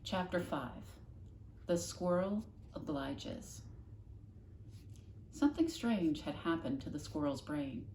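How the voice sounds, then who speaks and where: A woman reading a children's novel aloud expressively, with dramatic, deliberate emphasis. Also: sits low, expressive, mellow. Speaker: storybook reader, classroom host. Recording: echoey room, faint noise.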